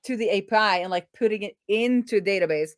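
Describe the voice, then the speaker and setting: A woman's voice: mid-range voice, fast, no room sound, clean studio sound. A woman hosting a casual live coding stream, thinking aloud as she explains technical things informally. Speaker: live-streaming web developer and host.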